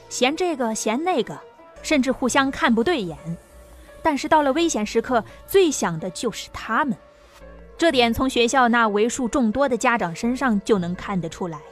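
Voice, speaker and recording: Higher-pitched woman narrating an audiobook.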